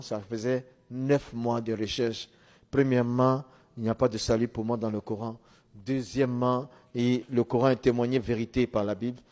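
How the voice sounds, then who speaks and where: A man's voice: mid-range voice, a little mellow. A middle-aged man, not a native French speaker, giving a religious testimony as a story, emphatically and in short repeated phrases. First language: a language other than French, Arabic or English. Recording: long hall reverb.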